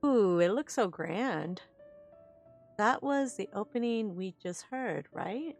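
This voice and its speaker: A woman's voice: mid-range voice, lively intonation, slow, soft background music. A woman giving casual playthrough commentary as a game streamer.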